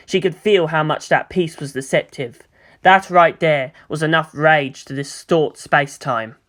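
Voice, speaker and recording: A story narrator reading dramatic action fiction in a measured, expressive way. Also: medium pitch.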